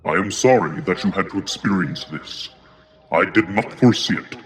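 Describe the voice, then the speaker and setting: A man playing a calm, mysterious otherworldly guide in an audio drama, solemn, measured and formally reassuring. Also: deep voice, soft background music. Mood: solemn reassurance.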